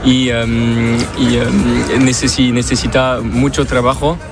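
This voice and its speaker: A young French man speaking Spanish as a second language, halting, with many fillers and restarts, in a street interview. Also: medium pitch, little pitch movement, music in the background.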